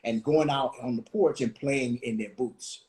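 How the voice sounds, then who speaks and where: A man in his forties from Washington, D.C., hosting a reflective conversation, thoughtful and full of pauses and restarts. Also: medium pitch, a little mellow. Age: 40s. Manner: thoughtful, many fillers and restarts. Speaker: host.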